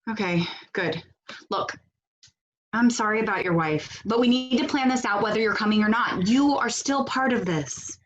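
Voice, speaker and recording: A woman acting a blunt, sardonic protest organizer in a stage comedy over a video call, with a brisk, dry, bossy delivery. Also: mid-range voice, a little hiss or hum, lightly acted. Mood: exasperated. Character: blunt, sardonic female leader of a protest cell.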